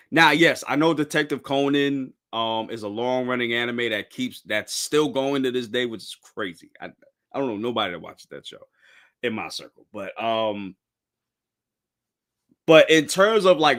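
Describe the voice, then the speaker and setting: Animated thirty-something man chatting about anime. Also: medium pitch. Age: 30s. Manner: rambling, restarts, says "bro". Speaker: podcast host. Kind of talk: casual anime discussion.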